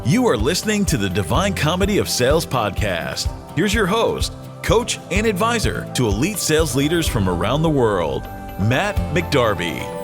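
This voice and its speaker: A man reading a scripted podcast introduction in a lively announcer style. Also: a little high, normal speed. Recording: voice over guitar music.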